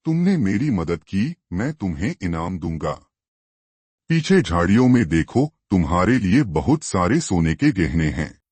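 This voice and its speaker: Man playing male lion, deep, husky, grand, swooping delivery, cartoon tale. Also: sing-song, unhurried, a little mellow, no echo, full character voice. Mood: grateful, grand. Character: large grateful male lion offering a reward. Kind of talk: animated moral story dialogue.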